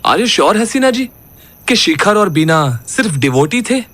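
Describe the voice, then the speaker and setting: Man playing a high-voiced sceptical young investigator. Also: big pitch swings, measured pace, fairly bright tone, full character voice. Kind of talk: dramatised horror audio story, Hindi mixed with English.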